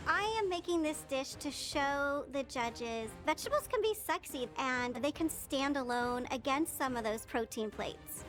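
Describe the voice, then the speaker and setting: Squeaky, bubbly woman in her fifties. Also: lively intonation, a little slow, hardly any pauses, a bit bright, soft background music. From California, US. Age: 50s. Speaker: home cook contestant. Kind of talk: reality show talk.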